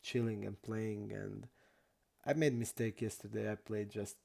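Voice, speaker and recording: A young man from Croatia, not an English speaker, chatting casually and haltingly while streaming poker. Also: lowish voice, fairly even intonation, creaky, a little mellow. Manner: halting non-native English, many restarts and fillers.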